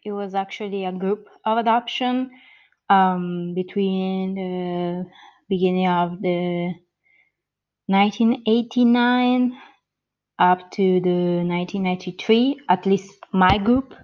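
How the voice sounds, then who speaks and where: A woman in her thirties with an Italian accent talks slowly as a podcast guest. She hesitates, restarts her sentences and fills the gaps with ums. Her audio sounds slightly muffled and dull. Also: medium pitch. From born in the US, grew up in Italy. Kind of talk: interview answers.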